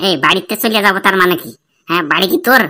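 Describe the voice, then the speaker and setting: A woman's voice: mid-range voice, rapid-fire, pushed and bright, lightly acted. A woman voicing an abusive, foul-mouthed bald drunkard of a husband in a cartoon comedy. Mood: menacing. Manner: threatening, swearing, demanding. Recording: very noisy, clicking background.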